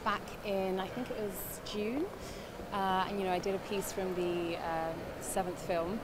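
A woman's voice: medium pitch, fairly even intonation, a little slow. A woman in her twenties interviewed at a busy indoor event, bubbly with many fillers. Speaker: actress interviewed. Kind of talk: casual interview. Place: large indoor hall, event. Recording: loud hall noise.

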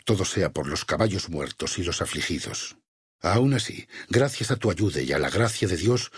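An actor playing a stern, vengeful religious war leader in a Spanish video game dub, with a Castilian accent, a husky rasp and solemn, preacherly phrasing. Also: male voice, sits low, no echo, studio quality, full character voice. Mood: weary, solemn. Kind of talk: dubbed video game voice acting.

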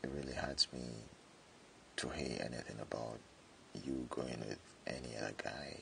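A man voicing a jealous tsundere friend confessing hidden love, speaking haltingly in an intimate boyfriend ASMR roleplay. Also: background noise, heavily acted. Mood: earnest, confessing. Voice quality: very deep, gravelly. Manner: hesitant, halting, with stammered repeats.

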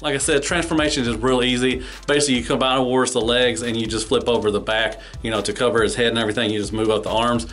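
A man with a mid-pitched voice reviews toys casually. He talks in an informal, folksy way and tends to repeat his phrases. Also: level tone, fast, few pauses, fairly bright tone, soft background music. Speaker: toy reviewer. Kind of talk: casual hands-on product review.

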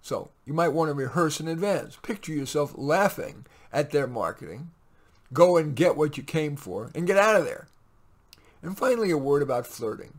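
Man in his 60s, slightly high voice, measured and wry, scripted advice talk, webcam in a home office. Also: expressive. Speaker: host. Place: small home office, indoors.

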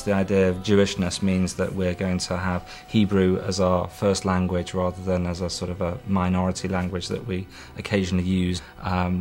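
A man speaking earnestly in a documentary interview, reflective and halting with many ums. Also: sits low. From Britain. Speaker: emigrating husband.

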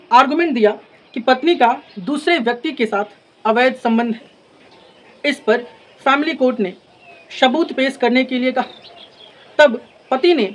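A man teaches in a notably high voice. He lectures deliberately, phrase by phrase, mixing in English legal terms and repeating points for emphasis. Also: low-quality recording. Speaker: law lecturer. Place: in front of a classroom blackboard. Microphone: lapel mic.

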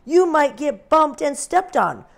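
A woman voicing a big cow who gives slow, emphatic warnings in a storybook reading. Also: high-pitched, big pitch swings, vocal fry, pitched above the performer's own voice, exaggerated voice.

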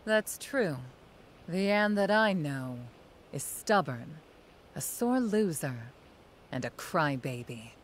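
A woman's voice: low-pitched, lively intonation, slow pace, full character voice. A woman voicing a proud, stern young female general in a video game, formal and commanding in manner. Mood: cool taunting.

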